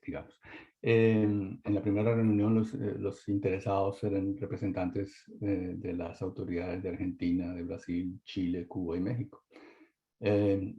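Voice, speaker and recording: A man presenting a webinar talk, halting with many fillers and restarts, over a video-call mic. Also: fairly low pitch. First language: Spanish. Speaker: webinar speaker. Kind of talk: hesitant presentation. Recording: dull lo-fi webinar audio.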